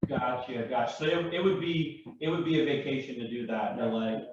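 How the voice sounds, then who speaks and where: A man's voice: mid-range voice, a little fast. An American man chatting casually on a distant microphone. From Louisiana, United States. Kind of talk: casual chat. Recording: very echoey, distant, dull, noisy mic.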